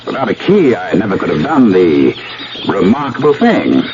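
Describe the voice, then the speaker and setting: A dull, hissy old radio recording carries a vengeful, unhinged husband. His delivery swings hugely in pitch, savoring each threat with theatrical, mocking politeness. He is a gloating radio-play villain who draws out his menace. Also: wildly swooping pitch, normal speed, exaggerated voice. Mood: gloating, mock-polite. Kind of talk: 1970s radio drama acting.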